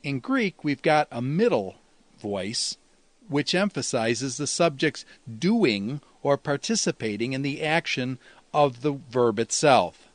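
A man's voice: medium pitch, animated, slow pace, dry recording. Man teaching in a very dry recording. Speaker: teacher of New Testament Greek. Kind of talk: instructional lecture, explaining with examples.

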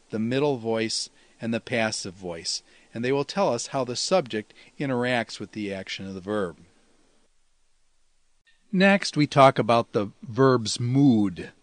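A man speaks as a teacher walking students through a lecture. His delivery is didactic and explanatory, with a lightly folksy touch. The sound is dry, with hardly any room around the voice, and his pitch sits in an ordinary male middle range. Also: dry recording. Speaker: teacher of New Testament Greek. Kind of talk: instructional lecture, explaining with examples.

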